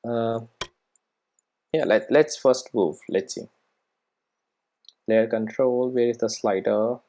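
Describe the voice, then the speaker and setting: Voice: medium pitch, slow pace, dark tone. A person giving an informal live coding tutorial, thinking aloud and often checking in with "right" and "okay". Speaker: programming tutorial presenter.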